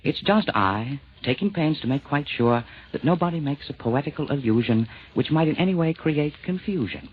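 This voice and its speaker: Pedantic man with lively, swooping delivery. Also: fairly high pitch, normal speed, hardly any pauses. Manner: pedantic, precise. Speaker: interjecting explainer in a radio play. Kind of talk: rhymed 1940s radio comedy acting. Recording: dull, faintly noisy vintage radio recording.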